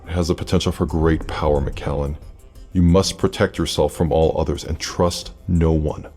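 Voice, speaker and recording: An actor playing a secretive, centuries-old immortal leader in an audio drama, speaking with measured, formal authority. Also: male voice, extremely low pitch, steady tone, a little mellow, faint music behind. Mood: urgent, solemn.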